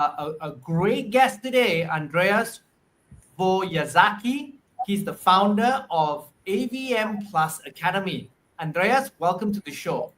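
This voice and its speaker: High-pitched male show host. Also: fairly bright tone, rough recording. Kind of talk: show introduction and interview.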